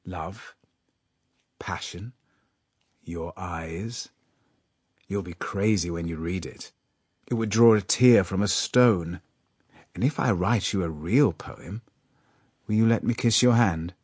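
A man's voice: low voice, takes it slowly, long pauses, a little mellow, breathy edge, no room sound, studio quality, full character voice. A man playing a self-important writing master and awkward suitor in a story reading. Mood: wooing.

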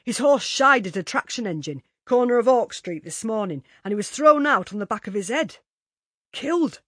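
A gossipy working-class delivery man who relishes every grim detail, put on by a woman reader. The voice is a little husky and recorded dry and close. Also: fairly high pitch, lively intonation, moderate pace, a bit bright, no room sound, voice pushed up. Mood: relishing. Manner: relishing, chatty storyteller.

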